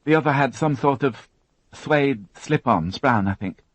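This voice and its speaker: Man putting on a raised voice for a tearful young woman, hesitant, halting, dry close sound. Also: medium pitch, expressive, no room sound, pitched above the performer's own voice. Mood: shaken. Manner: hesitant, halting, repeats words. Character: shaken young woman, crime witness.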